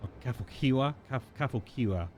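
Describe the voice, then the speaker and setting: A man's voice: mid-range voice, lively intonation, brisk, a little mellow, a little hiss or hum. A man live-streaming a game, reading story dialogue aloud in character and now and then stumbling over invented names. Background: game music.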